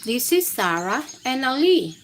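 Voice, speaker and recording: A woman's voice: sits high, sing-song, a little slow, slight background noise. A woman hosting a storytime, reading aloud to young children in simple phrasing and putting questions to her listeners. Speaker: children's storytime host and teacher.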